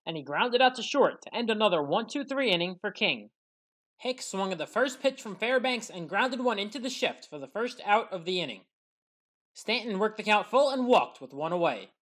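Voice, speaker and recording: A woman giving scripted play-by-play recap narration as a sports highlights commentator. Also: fairly bright tone.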